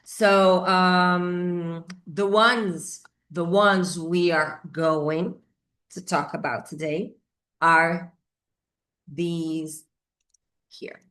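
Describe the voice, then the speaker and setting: A middle-aged Brazilian woman, a native Portuguese speaker, teaches English grammar informally with a Brazilian accent, thinking aloud haltingly and repeating key words. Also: on the low side, fairly even intonation, fairly bright tone. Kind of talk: informal whiteboard grammar lesson.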